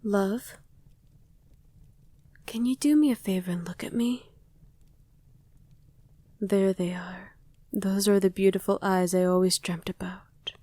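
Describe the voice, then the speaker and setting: A woman's voice: mid-range voice, steady tone, dark tone, no echo. A woman playing a loving, wistful vampire wife in an intimate ASMR roleplay, speaking tenderly and often in a whisper, close to the microphone. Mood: tender, reassuring. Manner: slow, tender, coaxing murmur. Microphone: close, dry microphone.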